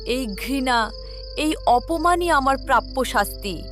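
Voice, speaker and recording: A woman's voice: high-pitched, animated, slow pace, over music. A woman voicing a proud mother broken by guilt, lamenting in a melodramatic cartoon story. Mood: anguished remorse. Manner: anguished, self-condemning lament.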